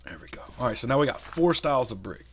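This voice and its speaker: A man with a cold, hoarse and a little raspy, chatting casually while he builds in a video game and talks himself through each step. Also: mid-range voice, animated, quick pace, a little hiss or hum. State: hoarse from sinus infection. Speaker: gaming channel host.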